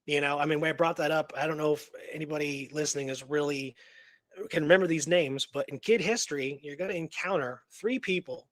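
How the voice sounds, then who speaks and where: A man's voice: fairly high pitch, brisk, no room sound. A man co-hosting a history show in casual, unscripted chat, rambling and conversational with emphatic repetition. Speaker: co-host of an Old West history show.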